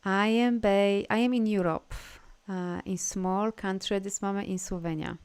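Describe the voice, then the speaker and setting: A woman leads a live spiritual session, talking casually and gushing over her viewers. Her voice is smooth and clear, pitched in the middle for a woman. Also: steady tone, moderate pace, darkish tone. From Poland. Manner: effusive, gushing praise and frequent laughter. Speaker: energy healer hosting a live session.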